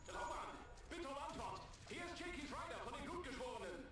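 A man's voice: very high voice, sing-song, a little fast, over music. A man voicing a panicked soldier in a fantasy game, frantic, on an echoing distant transmission. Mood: frantic, terrified. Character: panicked male soldier reporting over a link. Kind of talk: dramatic game battle acting.

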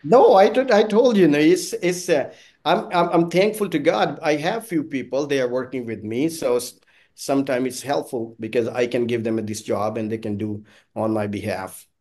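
A middle-aged man from Pakistan, a native Punjabi speaker with a Pakistani accent, chatting as an interview guest in halting, non-native phrasing. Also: mid-range voice, a little mellow. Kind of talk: casual conversational interview. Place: small indoor office, on a video call. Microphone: video call.

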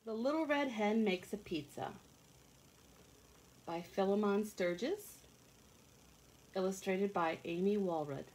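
A woman in her thirties reading a picture book aloud for children in a deliberate, sing-song, teacherly way, with asides to the listeners. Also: mid-range voice, darkish tone, a little hiss or hum, poor audio. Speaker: read-along storyteller for children. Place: indoor rooms at home.

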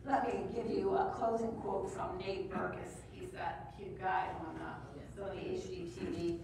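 A woman's voice: fairly low pitch, level tone, normal speed, creaky. A woman in her sixties speaking to a live audience in a conference room about decluttering, chatty, blunt and practical. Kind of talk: casual talk to a live audience. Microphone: far room mic. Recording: echoey room from far off, with noise.

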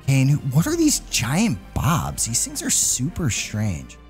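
Animated male gaming host over music. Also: mid-range voice, unhurried. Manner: exclamatory, mock-alarmed, addresses viewers as guys. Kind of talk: excited gameplay commentary with playful roleplay.

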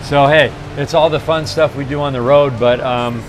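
A man's voice: mid-range voice, fairly even intonation, normal speed, crowd noise. A man in his fifties with an American accent, chatting casually as co-host of a travel vlog. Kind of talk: casual chat to camera. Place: restaurant table and rainy downtown street at night.

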